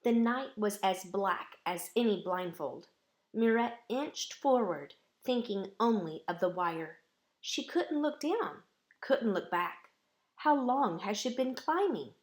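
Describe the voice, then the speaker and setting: A woman storyteller reads a children's picture book aloud at a very unhurried pace. Her phrasing is deliberate and dramatic, and her voice sits at a middling pitch. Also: lively intonation.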